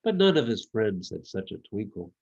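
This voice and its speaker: A man reading his own children's book as a storyteller, with expressive, measured narration. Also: lowish voice, highs cut off.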